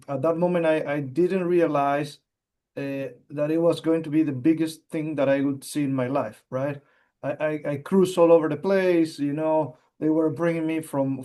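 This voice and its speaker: A man with an Argentine Spanish accent speaks English as a second language, explaining his work in an interview. His voice sits a bit high yet sounds mellow and dark. He talks in halting bursts, full of fillers and repeated words. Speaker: mining company executive, geologist. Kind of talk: interview answers.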